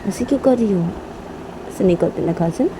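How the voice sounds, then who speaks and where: Woman as stern mother with a mellow voice. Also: medium pitch, expressive, fairly quick, a little hiss or hum, pitched above the performer's own voice, natural voice, poor audio. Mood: reproachful. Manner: curt, admonishing, repeated short questions. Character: stern scolding mother hushing her daughter.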